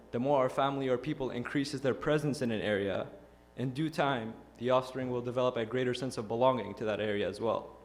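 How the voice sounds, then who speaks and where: A young man from Iraq delivers a prepared talk at a middle pitch. He speaks in an earnest, measured way and poses rhetorical questions to his listeners. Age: young adult. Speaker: youth speaker. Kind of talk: prepared speech.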